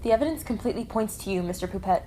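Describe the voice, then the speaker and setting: A woman's voice: mid-range voice, expressive, racing, warm tone, a little hiss or hum, natural voice. A woman playing a firm, probing amateur sleuth at a lodge in a puppet show. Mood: accusing. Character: firm, reflective female lodge worker turned sleuth.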